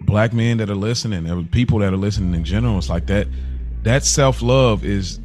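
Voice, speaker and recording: A man's voice: fairly low pitch, a little fast, a little mellow, faint music behind. A man in casual, reflective podcast conversation, speaking in a supportive, affirming way as a co-host.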